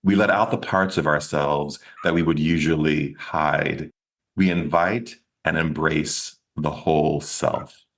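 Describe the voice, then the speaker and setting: A man hosting an interview show, reading a measured scripted introduction and then asking questions. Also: extremely low pitch, fairly even intonation. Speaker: podcast host.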